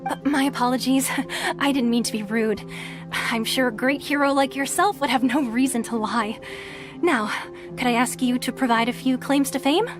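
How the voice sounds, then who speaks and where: A woman's voice: high-pitched, normal speed, over music, close to an everyday voice. A woman voicing a hesitant, awestruck tournament registrar in a video game. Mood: apologetic.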